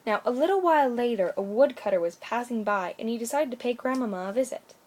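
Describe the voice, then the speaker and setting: A woman's voice: a little high, animated, fast, a little mellow. A woman storyteller reading a children's bedtime story aloud with expression.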